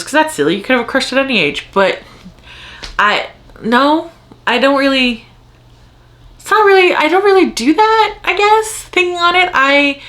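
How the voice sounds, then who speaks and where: A woman's voice: fairly high pitch, fairly bright tone. Chatty, rambling woman book vlogger. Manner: rambling, self-interrupting, thinking aloud. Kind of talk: casual chat to viewers, answering tag questions.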